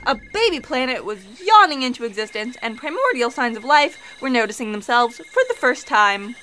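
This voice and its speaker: A woman's voice: on the high side, animated, normal speed, sharp tone, slight background noise, low-quality recording. A woman playing an eager, scatterbrained fact checker, chirpy and pedantic, in comic sci-fi audio theatre. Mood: bright, helpful. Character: eager, scatterbrained young female fact checker on a cosmic broadcast.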